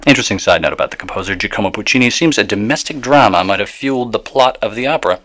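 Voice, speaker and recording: An actor playing an eccentric, provocative radio DJ in a radio drama, rambling wryly and verbosely through a theatrical live opera broadcast. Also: male voice, fairly low pitch, lively intonation, a bit bright. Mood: wry, musing. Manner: verbose, wry, dramatic, drawn-out musing.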